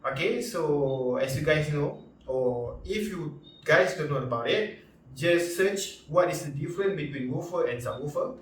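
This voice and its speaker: Echoey, higher-voiced Malaysian man reviewing gear. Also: lively intonation. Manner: informal, repetitive, halting, punctuated with slangy interjections. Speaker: product reviewer and vlogger. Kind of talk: casual unscripted product review. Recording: echoey room, distant mic, background music.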